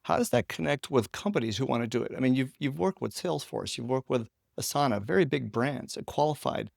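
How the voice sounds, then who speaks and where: A man's voice: mid-range voice, lively intonation, a little fast, creaky, darkish tone, dry recording, studio quality. A man hosting a casual interview, feeling his way conversationally into his questions. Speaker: interviewer, host.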